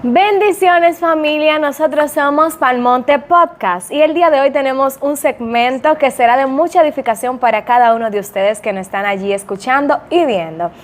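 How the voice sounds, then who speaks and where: A woman's voice: high voice, normal speed, few pauses. A woman hosting a church podcast, upbeat and affirming as she welcomes and questions her guest. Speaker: podcast host.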